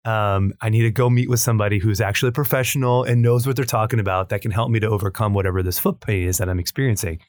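A man in his late thirties hosting a podcast, asking questions in a conversational, halting way with restarts. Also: fairly low pitch, no room sound, studio quality. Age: 30s. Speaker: podcast host. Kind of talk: casual interview conversation.

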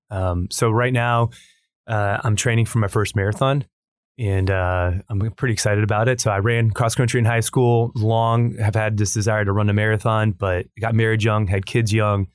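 Man in his thirties, podcast host, low voice, conversational and halting, dry close recording. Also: fairly even intonation, dry recording. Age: 30s. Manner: conversational, halting restarts, questioning. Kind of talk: casual interview conversation.

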